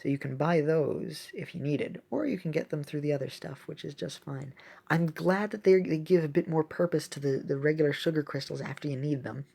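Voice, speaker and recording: A woman giving casual, excitable gameplay commentary as a video host, thinking aloud as she plays. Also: deep voice, a little fast, creaky, breathy edge. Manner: excitable, exclaiming often; thinks aloud while playing.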